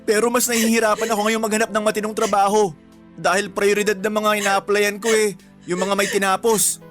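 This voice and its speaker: A man's voice: high-pitched, level tone, a little fast, fairly bright tone. A man playing a guilty, defensive young Filipino husband and father in a radio drama, pleading and blaming himself. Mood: remorseful. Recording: music bed behind the voice.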